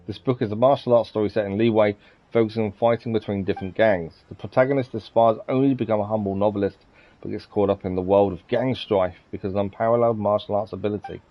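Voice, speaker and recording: Fast-talking, low-voiced male streamer. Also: fairly even intonation. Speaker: live streamer. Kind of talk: game livestream commentary, reading dialogue and chatting. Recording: slightly dull sound, game music behind. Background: faint game music.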